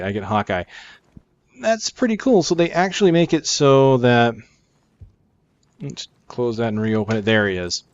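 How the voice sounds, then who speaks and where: A man's voice: mid-range voice, no room sound. Dry-sounding man doing casual game commentary. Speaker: gaming video host. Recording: dry, slightly dull microphone.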